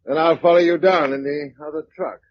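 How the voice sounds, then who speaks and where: A man's voice: fairly high pitch, steady tone, fairly quick, natural voice. An actor playing a practical, dry young British man in a radio drama, speaking in a clipped, matter-of-fact way. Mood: dry, easy. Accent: British English. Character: practical, dry young Englishman surviving a catastrophe. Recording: muffled radio drama recording, faint noise.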